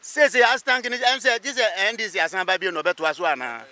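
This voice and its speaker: Emphatic, bright, high-voiced Ghanaian man. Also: fairly quick. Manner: emphatic, repeats phrases. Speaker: interviewed local motorist or resident. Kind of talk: street interview. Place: outdoors by a busy road.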